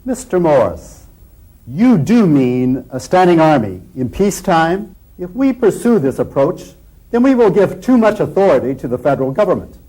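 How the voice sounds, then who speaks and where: An actor playing a worried eighteenth-century delegate, formally challenging others in a period drama. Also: male voice, a little high, big pitch swings, normal speed, mellow, rough recording. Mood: alarmed. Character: worried 18th-century delegate questioning peacetime army. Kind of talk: period stage-like debate acting.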